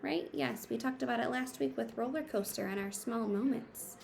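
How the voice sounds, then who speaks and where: A woman's voice: fairly high pitch, moderate pace, vocal fry, darkish tone. A woman reading a picture book aloud like a teacher, expressively, with simple explanatory asides for children.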